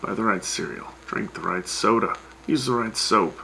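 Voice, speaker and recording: Dude doing a sarcastic wasteland radio dj, gravelly but bright. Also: male voice, lowish voice, normal speed, slight background noise. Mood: wry. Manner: wry, sarcastic banter. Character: wry wasteland radio host. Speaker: radio host.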